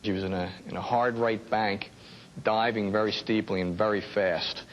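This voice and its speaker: A man with an American accent recalling events in an interview, halting and repeating words as he goes. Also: on the low side, fairly even intonation, highs cut off, slight background noise. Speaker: air traffic controller interviewee. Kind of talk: first-hand recollection in interview.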